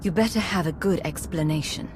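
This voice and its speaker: An actress playing a composed, authoritative secret agent commander in a video game, firm and diplomatic. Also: female voice, lowish voice, brisk, background noise, lightly acted. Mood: guarded. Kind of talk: video game cutscene acting.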